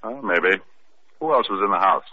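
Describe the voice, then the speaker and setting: An actor playing a tough, wisecracking private detective who also narrates, in 1940s radio acting style. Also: male voice, deep voice, lively intonation, normal speed, bright, forceful tone, exaggerated voice. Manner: dry, wisecracking. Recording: tinny old radio with faint hiss.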